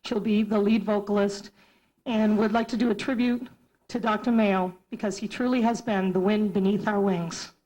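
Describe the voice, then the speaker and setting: A woman's voice: medium pitch, fairly even intonation, normal speed, darkish tone, low-quality recording. Woman reading a formal tribute speech. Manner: reads prepared remarks. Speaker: music teacher.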